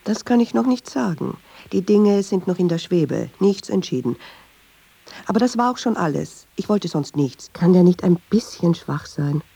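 Creaky-voiced, brooding, introspective woman. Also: on the low side, expressive, warm tone, dry recording, heavily acted. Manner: introspective, deliberate. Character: brooding, persistent, troubled woman who argues with herself. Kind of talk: radio drama monologue and dialogue. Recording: dull, hissy radio drama recording.

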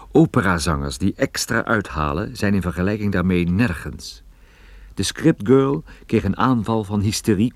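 Male actor as a wry private eye, low voice, measured storytelling, radio play narration, close dry sound. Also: no echo, close to an everyday voice. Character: wry private detective telling his own story. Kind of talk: scripted first-person radio play narration.

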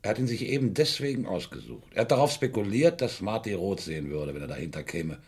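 An actor playing a shrewd, methodical middle-aged police inspector in a 1970s radio crime play, speaking deliberately and probingly. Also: male voice, lowish voice, sing-song, darkish tone, husky, exaggerated voice. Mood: shrewd, explaining.

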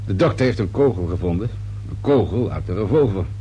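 An actor playing a polite, unhurried police detective who questions in a casual, hesitant, probing way in a radio drama. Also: male voice, fairly low pitch, animated, mellow, natural voice. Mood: insistent, probing. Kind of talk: Dutch radio drama acting. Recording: noisy old radio recording with hum.

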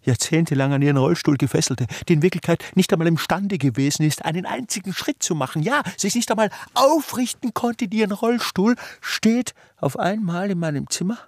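An actor playing an obsessed, despairing older man, a failed scholar, in a halting, repetitive literary radio drama monologue that swells into outbursts. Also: high voice, lively intonation, dry recording, clean studio sound. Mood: horrified, agitated.

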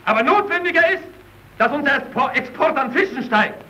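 High, fiery worker-orator. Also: male voice, animated, a little fast, pushed and bright, full character voice, low-quality recording. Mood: heated. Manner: declamatory, insistent oratory. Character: fiery Soviet worker arguing at a party meeting. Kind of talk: vintage German radio play acting.

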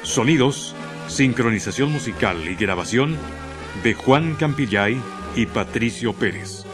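A man's voice: medium pitch, animated, a little slow. A man with a Chilean accent narrating a scripted radio drama in a solemn, literary storytelling manner. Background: music.

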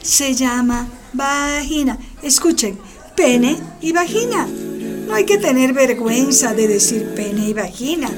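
A woman's voice: sits high, lively intonation, slow pace, over music. A woman playing a cheerful, frank schoolteacher who encourages her class in a radio drama. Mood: encouraging. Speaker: teacher.

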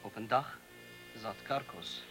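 An actor in a radio drama playing a gentle young student teacher who speaks soothingly. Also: male voice, on the low side, music in the background. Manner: soothing, slow.

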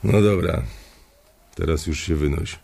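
An older man who runs a bank's trading floor in a radio play, domineering and blunt. His voice sits extremely low with a gravelly fry. He speaks in curt, commanding lines and slips into coarse insults. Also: unhurried, dark tone, heavily acted. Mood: dismissive. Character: older male bank boss, blunt, domineering and crude. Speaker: head of a bank trading floor. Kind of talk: radio drama acting.

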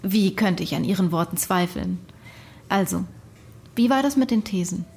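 A woman's voice: lowish voice, lively intonation, normal speed, creaky, a little mellow, husky, full character voice. An actress playing a sceptical, dryly ironic interviewer in a radio drama, probing as she talks. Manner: dry, probing.

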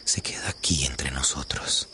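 A very deep, hushed lovestruck suitor. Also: male voice, a little slow, in a whisper, full character voice. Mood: tender, pleading. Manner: hushed, intimate, breathy delivery. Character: lovestruck tender male suitor confessing his love. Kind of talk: radio soap opera acting.

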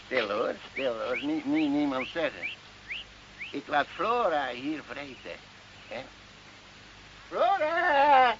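A man's voice: on the high side, big pitch swings, slow pace, long pauses, fairly bright tone, exaggerated voice. An actor playing a sly, rambling old male goatherd in a radio drama, stammering, repeating himself and chuckling. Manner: stammering, repetitive, frequent chuckling and questioning tags. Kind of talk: Dutch radio drama acting. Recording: muffled old radio recording, faint noise.

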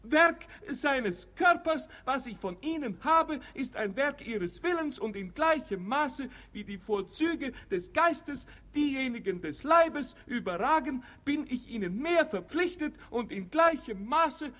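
Silly pompous daddy's boy in a radio comedy, super high n slow, stumbles thru memorised speeches. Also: animated, pushed and bright, full character voice. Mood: stilted, nervous. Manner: stilted recitation, halting, stumbling over memorised lines. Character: dim, pompous young doctor's son reciting learned speeches. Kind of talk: comic radio play acting.

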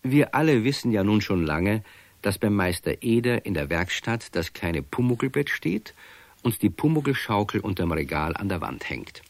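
Low-voiced male storyteller, dry studio. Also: very animated, normal speed, dry recording. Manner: storytelling, addressing listeners directly. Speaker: narrator. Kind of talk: scripted narration for a children's radio play.

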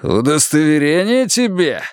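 A man voicing a crazed young male plainclothes drug cop who bullies and shouts threats. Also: on the high side, very animated, unhurried, smooth, no echo, clean studio sound, voice pushed up. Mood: shouting, aggressive.

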